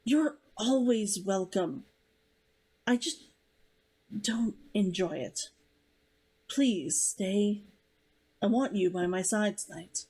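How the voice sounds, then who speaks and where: A woman's voice: mid-range voice, takes it slowly, pauses often, a little mellow, slightly rough. A woman reading the part of a brooding, guarded male lover in a novel, firm and intimate. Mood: tender, pleading.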